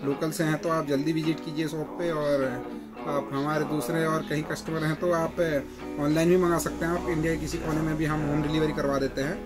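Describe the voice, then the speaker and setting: A man in his thirties, a shop owner, showing off shoes to the camera in an eager, repetitive sales pitch in his shop. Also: little pitch movement, brisk, loud music behind the voice. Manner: repetitive, enthusiastic salesman patter. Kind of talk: product showcase sales pitch. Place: indoor shoe shop with stacked boxes.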